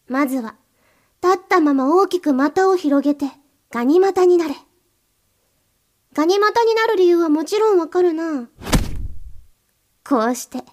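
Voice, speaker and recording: A woman playing a cold, domineering mistress in an erotic audio drama, giving curt, contemptuous orders to the listener. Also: extremely high pitch.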